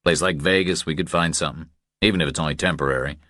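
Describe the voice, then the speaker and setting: Man reading a blunt, very deep-voiced tough guy. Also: fairly even intonation, fast talker, a bit bright, no room sound, clean studio sound. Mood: urging, practical. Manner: terse, matter-of-fact. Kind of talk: audiobook character dialogue.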